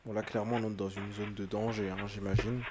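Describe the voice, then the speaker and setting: A man with a fairly low voice comments casually on horror videos. He speaks informally and with slang, like a creator reacting for viewers. There is heavy noise and clicking in the recording. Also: level tone, brisk.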